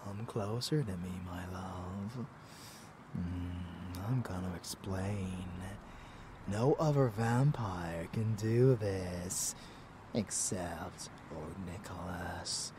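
A man's voice: sits low, drawn-out words, background noise. A man playing a murderous, doting vampire lover in an intimate ASMR audio roleplay, drawling and often dropping into whispers. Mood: boastful, menacing. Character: rebellious murderous male vampire lover, doting yet bloodthirsty.

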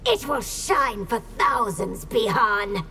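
Slow, imperious warrior empress. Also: female voice, a little high, lively intonation, sharp tone, faint music behind, full character voice. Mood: stern, regal. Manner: imperious, deliberate. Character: fierce regal young empress warrior, stern. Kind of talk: video game character dialogue.

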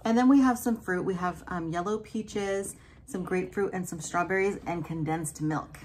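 Soft-spoken woman chatting over food. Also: lowish voice, normal speed, slight background noise. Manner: soft, relaxed, savoring food between remarks. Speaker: food vlogger. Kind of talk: casual mukbang chat. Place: small indoor room at a table.

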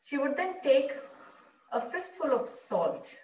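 A woman tells a personal story in slow, deliberate phrases. The delivery is reflective and measured, picked up by a distant camera microphone in a clearly echoing space. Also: sits high, big pitch swings, darkish tone. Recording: roomy, distant microphone via video playback.

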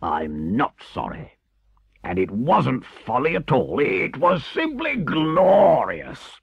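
A man voicing a vain, excitable, unrepentant toad with a gushing, theatrical manner in a storybook reading. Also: on the high side, moderate pace, creaky, slightly rough, no echo, dull audio, pitched above the performer's own voice, exaggerated voice. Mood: sullen, defiant. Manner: theatrical, gushing, wildly swooping.

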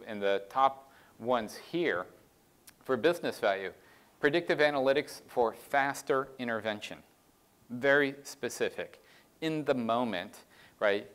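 A man's voice: on the low side, fairly bright tone, clean tone. Middle-aged man giving a conference keynote. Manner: conversational, explanatory, frequent "right?" and "um". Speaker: keynote speaker. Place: conference stage in a large dark room.

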